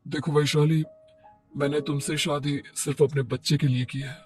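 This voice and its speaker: A man's voice: on the high side, little pitch movement, moderate pace, far from the mic, soft background music, lightly acted. A man voicing a devoted young father, an earnest and stubborn husband in a cartoon moral story. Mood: stern, reproachful.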